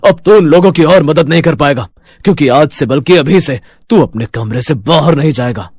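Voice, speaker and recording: A man's voice: on the high side, expressive, normal speed, a little mellow, husky, no room sound, studio quality. A man voicing an angry, domineering father, a village landowner in a cartoon story, stern and scolding. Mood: furious. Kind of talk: Hindi moral-story cartoon voice acting.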